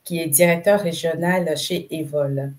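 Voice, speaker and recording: A woman hosting a podcast interview, with a notably low voice. She speaks hesitantly, filling her sentences with fillers and repeating words as she sets up her questions. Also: fairly even intonation, unhurried. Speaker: podcast host.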